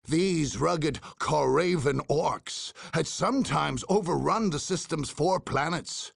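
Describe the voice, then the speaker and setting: Game war chronicler: husky, deliberate, dramatic, slightly high pitch, close dry recording. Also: male voice, no echo, full character voice. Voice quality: husky, gravelly. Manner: theatrical, deliberate. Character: grim dramatic war chronicler narrating an ork campaign. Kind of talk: dramatic scripted narration.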